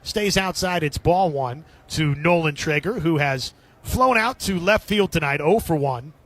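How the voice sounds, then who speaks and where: Male play-by-play announcer for radio baseball, high-pitched and husky in tone. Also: unhurried, fairly bright tone. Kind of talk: live radio sports commentary.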